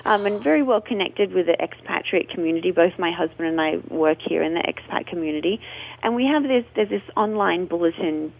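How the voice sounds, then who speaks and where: A woman's voice: lowish voice, lively intonation, a little fast, gravelly. A woman, a guest in a radio interview, telling her story in a chatty, expansive way. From Australia. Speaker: radio guest, food blogger. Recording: tinny phone line.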